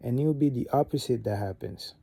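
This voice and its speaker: Gabonese guy, french first language, rambly vlog talk, gravelly low tone, dead dry room. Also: brisk, no room sound. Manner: rambling, many fillers and restarts, animated on key points. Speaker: vlogger. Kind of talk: casual motivational talk to camera.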